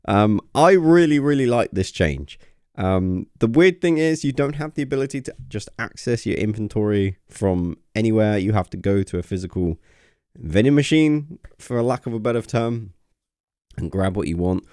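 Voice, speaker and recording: A man's voice: lowish voice, animated, moderate pace, darkish tone, clear, resonant tone, no echo, clean studio sound. A man casually talking about a game update on his channel, enthusiastic and rambling with lots of fillers, his voice getting a little worn. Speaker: gaming channel host. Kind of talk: casual vlog commentary.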